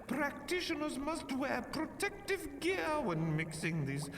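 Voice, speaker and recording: A man's voice: extremely high pitch, very animated, a bit bright, full character voice. A man playing a stern, exacting potions professor at a magic academy, pedantic and scolding, in a scripted fantasy audio roleplay. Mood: lecturing. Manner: pedantic, scolding lecturer with deliberate phrasing. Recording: long hall reverb, faint noise.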